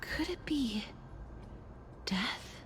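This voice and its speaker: An actress playing a calm, gentle, solemn young priestess in a fantasy game, speaking softly and politely in a measured way. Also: female voice, fairly high pitch, whispered. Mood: solemn, pensive. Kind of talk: video game story dialogue.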